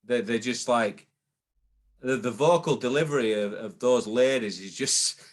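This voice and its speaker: A man's voice: mid-range voice, unhurried. A man, a guest in a casual interview chat, hesitant with many ums and repeated starts. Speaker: guest, booking agent.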